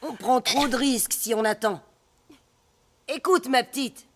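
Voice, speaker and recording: A woman's voice: on the high side, a little slow. A woman voicing a stingy, scolding middle-aged working-class wife in a cartoon, with colloquial, haughty speech. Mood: bossy, worried.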